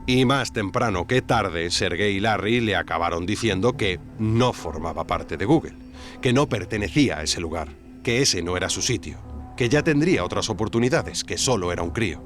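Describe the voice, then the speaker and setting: A man's voice: lowish voice, lively intonation, moderate pace, a bit bright, faint music behind. A man with an accent from Spain giving scripted, dramatic documentary narration. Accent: Spanish (Spain).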